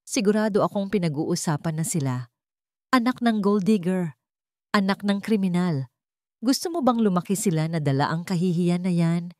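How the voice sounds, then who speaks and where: A woman's voice: fairly low pitch, darkish tone, dry recording, studio quality, lightly acted. A woman voicing an arrogant wealthy man who sneers and threatens.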